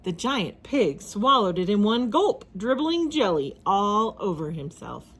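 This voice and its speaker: A woman narrates a children's storybook with plenty of drama. Her pitch moves widely from phrase to phrase, and her voice has a husky grain. The delivery is expressive throughout. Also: unhurried.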